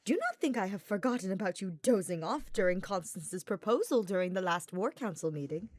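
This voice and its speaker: A woman's voice: mid-range voice, expressive, brisk, dry recording, natural voice. A genial noble knight , a man as a woman reader puts him on from a fantasy storybook. He speaks formally and earnestly with a teasing streak, in a dry, close recording. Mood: amused.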